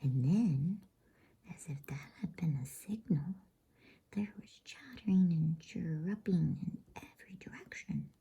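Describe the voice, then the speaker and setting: Lady doing asmr bedtime reading, super breathy whisper, low and crackly, real soothing. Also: lively intonation, mellow, dull audio. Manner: soothing, unhurried. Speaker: host and storybook reader.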